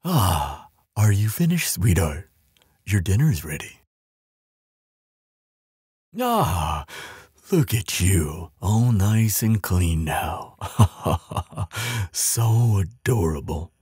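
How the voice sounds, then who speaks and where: A huge, tender giant acted out by a man with a very deep, heavily husky voice. He speaks slowly and soothingly, doting on the listener as in an intimate audio roleplay. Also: big pitch swings, darkish tone, dry recording, studio quality, exaggerated voice. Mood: adoring. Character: huge gentle male giant, tender and caring. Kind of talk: audio roleplay acting, softly spoken to the listener.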